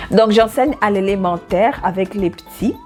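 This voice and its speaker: A woman's voice: mid-range voice, very animated, takes it slowly, dark tone, soft background music. A woman in her thirties from Gabon, introducing herself in a casual faith vlog, cheerful, laughing often and saying amen. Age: 30s.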